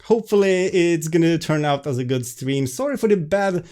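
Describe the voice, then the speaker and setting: A man with a Swedish accent talks on a live stream, his voice sitting a bit higher than most men's. He sounds stressed and keeps apologizing, tripping over restarts and filler words. He chats casually, straight to his viewers, over a webcam. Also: lively intonation, moderate pace, darkish tone.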